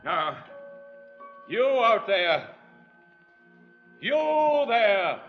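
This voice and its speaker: Middle-aged magnate, desperate and grandiose, extremely high, drawn-out declamation, old radio drama. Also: male voice, big pitch swings, heavily acted. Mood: grand, desperate. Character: proud desperate tycoon bargaining grandly with the heavens. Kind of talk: 1940s radio drama acting. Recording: muffled 1940s radio recording, music behind.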